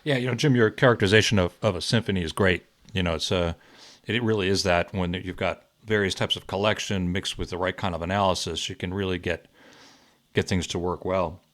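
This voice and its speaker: Podcast host: man, lowish voice, easy conversational manner. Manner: conversational, with small restarts. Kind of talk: interview hosting.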